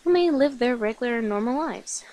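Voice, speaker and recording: A woman playing a flirty, affectionate, slightly nervous vampire in an intimate ASMR roleplay, speaking softly, coyly and hesitantly to the listener. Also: a little high, expressive, moderate pace. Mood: explaining softly. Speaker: voice actor in an audio roleplay.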